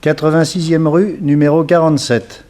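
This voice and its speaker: A man's voice: on the high side, level tone, normal speed, darkish tone, lightly acted. An actor playing a detective's male assistant giving a matter-of-fact report in a 1960s French radio drama.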